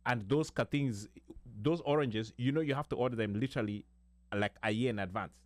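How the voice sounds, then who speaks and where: A man's voice: mid-range voice, fairly quick, no room sound. A man with a Kenyan accent chatting on a talk radio show, with frequent restarts and Swahili phrases mixed in. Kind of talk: casual talk radio discussion.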